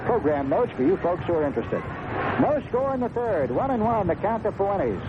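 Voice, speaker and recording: A man's voice: sits high, very animated, moderate pace. An American man calling baseball play-by-play on the radio, conversational, moving between clipped calls and chatty asides. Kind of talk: live radio sports commentary with chatty asides. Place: stadium broadcast booth. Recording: tinny, very noisy 1970s radio broadcast.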